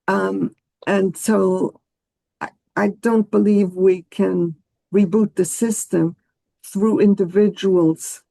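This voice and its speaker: A woman whose first language is Hebrew, speaking slowly and deliberately as a panelist in a discussion. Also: medium pitch, fairly even intonation, vocal fry, warm tone, dry recording. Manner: slow, deliberate, earnest.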